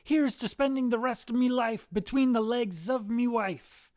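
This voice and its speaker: A woman's voice: fairly high pitch, normal speed, a little mellow, no echo, clean studio sound, voice pushed up, close to an everyday voice. Woman as a hearty Irish pub husband.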